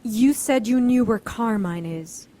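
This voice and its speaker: A woman's voice: a little high, measured pace, mellow, close to an everyday voice. An actress playing a fierce, defiant woman doctor in an audio drama, speaking in a curt, commanding way. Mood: brisk.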